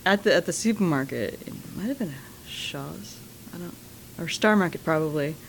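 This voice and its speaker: Low-voiced woman from massachusetts, horror filmmaker guest, swears a lot, laughy storytelling, faint noise behind. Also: normal speed, gravelly, low-quality recording. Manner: profane, animated storytelling with laughs. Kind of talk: casual interview chat.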